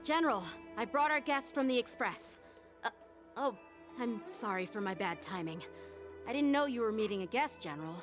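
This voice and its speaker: A woman's voice: on the high side, lively intonation, normal speed, music in the background, natural voice. A woman playing a polite, earnest young officer in a video game.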